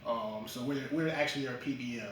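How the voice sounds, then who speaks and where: Man, from Jamaica, interview guest, measured, hesitant fillers, echoey distant recording. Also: medium pitch, fairly bright tone, slight background noise. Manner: measured, many ums and uhs, lists achievements. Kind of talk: casual interview.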